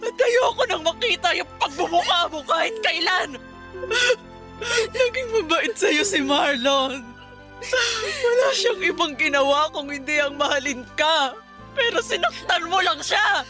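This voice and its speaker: A woman's voice: extremely high pitch, expressive, measured pace, music in the background. An actress playing a grieving middle-aged mother in a Tagalog radio drama, tearful and accusing as she lashes out. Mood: furious, tearful. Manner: tearful, accusing, shouting outbursts. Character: grieving, furious middle-aged mother blaming a young woman.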